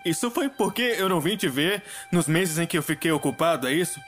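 A man's voice: fairly high pitch, lively intonation, a little fast, a bit bright, faint music behind. A man with a Brazilian accent voicing a crude, volatile little demon imp in a dubbed adult cartoon, brash and emotional. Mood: anxious, pleading. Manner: brash, foul-mouthed, emotional.

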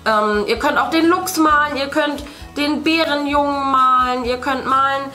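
Higher-pitched woman chatting in a roomy space. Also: fairly even intonation, moderate pace, pushed and bright. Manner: speaks to children, addressing viewers directly. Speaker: host of a family children's video channel. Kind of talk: casual chat, explaining to viewers while painting. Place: indoor room at a table. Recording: roomy sound, faint music. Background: music.

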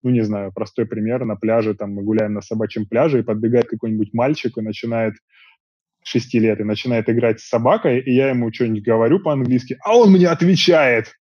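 A man in his thirties, an interview guest, chatting casually in long, relaxed stretches from a small room at home over earbuds on a video call. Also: mid-range voice, brisk, slightly rough, no echo. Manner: relaxed, talks in long rambling stretches with fillers. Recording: dull dry video call audio.

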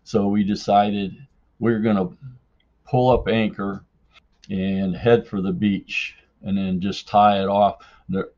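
Slow, low-voiced man on a dull recording. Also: level tone, darkish tone. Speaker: podcast listener leaving a voicemail story. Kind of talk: casual personal storytelling.

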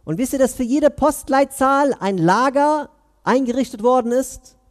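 Earnest high-voiced man preaching. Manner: earnest, questioning, pauses between phrases. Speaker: imam giving Friday sermon. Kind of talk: sermon. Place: mosque prayer hall, indoors. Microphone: headset mic.

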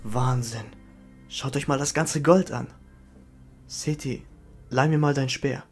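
A woman playing an impatient, bickering, bossy adventurer with a husky voice in an audio drama. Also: very deep voice, slow pace, darkish tone. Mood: excited, bossy. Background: background music.

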